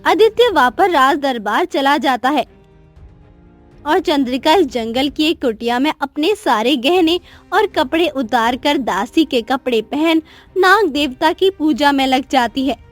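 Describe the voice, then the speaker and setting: A woman's voice: high-pitched, animated, a little slow, soft background music. A woman narrating a scripted cartoon moral story as storyteller.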